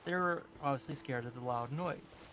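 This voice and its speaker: A man's voice: medium pitch, moderate pace, a bit bright, poor audio. Dry-witted man over game music. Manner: dry, matter-of-fact, punning. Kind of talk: casual chat during a game stream.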